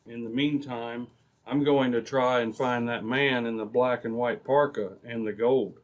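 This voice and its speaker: A man playing a resolute, reassuring Mountie sergeant in an old-time radio drama, measured in manner. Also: medium pitch, fairly even intonation, dull audio, natural voice.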